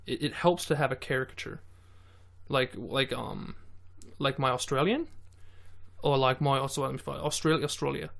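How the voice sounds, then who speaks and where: A man chatting as a podcast guest at an ordinary, mid-range pitch, with a gravelly, fry-laced edge to his voice. He talks in an animated way, swears freely and trips over his words, restarting phrases as he goes. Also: moderate pace, long pauses. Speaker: guest, beatboxer and voice actor. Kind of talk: casual podcast chat.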